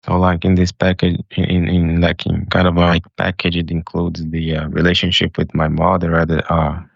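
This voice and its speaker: Man, advice caller, flat monotone, gravelly fry, hesitant, halting, deferential, casual. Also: low voice, dry recording, lo-fi sound. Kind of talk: casual conversation.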